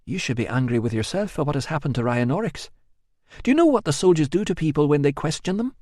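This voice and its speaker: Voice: medium pitch, lively intonation, fast talker, darkish tone, dry recording, studio quality, pitched above the performer's own voice, natural voice. A narrator voicing a blunt, practical young woman who speaks curtly and firmly. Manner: curt, matter-of-fact, firm. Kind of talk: audiobook acting.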